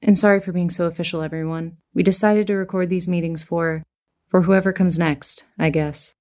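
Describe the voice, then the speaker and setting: A woman's voice: lowish voice, fairly even intonation, moderate pace, a little mellow, natural voice. An actress playing a composed, fair-minded female leader of a survivor settlement, orderly and decisive, in an audio drama.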